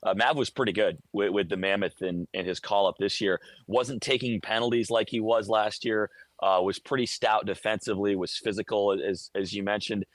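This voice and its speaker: A man with an American accent and a fairly low voice, recorded close and dry. He gives live sports radio analysis in a conversational, detailed way, often tripping on the first word of a phrase before carrying on. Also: steady tone, moderate pace, no room sound. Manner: conversational, detailed, stammers over word starts. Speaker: radio play-by-play broadcaster, guest analyst.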